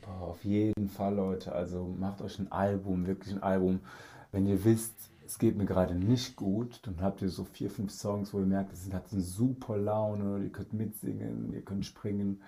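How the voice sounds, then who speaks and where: A man's voice: on the low side, flat delivery, normal speed, dark tone. A man guest in a casual podcast chat, enthusiastic, laughing often and saying "auf jeden Fall" again and again.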